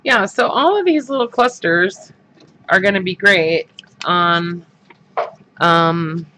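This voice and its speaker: A man hosting a crafting livestream talks in an unhurried, rambling way, full of ums and restarts. His voice sits a little high and sounds bright and forceful, with a stuffed-up nose. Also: a little hiss or hum, low-quality recording. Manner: rambling, many fillers and restarts. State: stuffed-up sinuses. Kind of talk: casual livestream chat while crafting.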